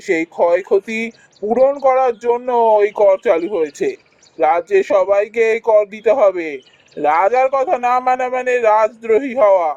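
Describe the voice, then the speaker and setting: A man's voice: high voice, a little mellow, dry recording, lo-fi sound. A man playing a tyrannical, self-justifying king in a cartoon fairy tale, pompous and formal. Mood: commanding. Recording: faint music behind the voice.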